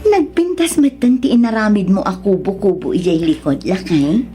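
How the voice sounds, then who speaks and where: A woman's voice: mid-range voice, animated, moderate pace, over music, natural voice. A woman playing an affectionate, concerned young wife from a rich family in an Ilocano radio drama, with an Ilocano accent.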